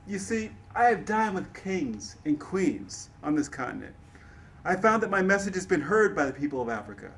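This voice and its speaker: Man acting as an earnest, high-voiced male activist orator. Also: big pitch swings, moderate pace, fairly bright tone, a little hiss or hum, exaggerated voice. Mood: reflective, resolute. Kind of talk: dramatic reading of historical dialogue.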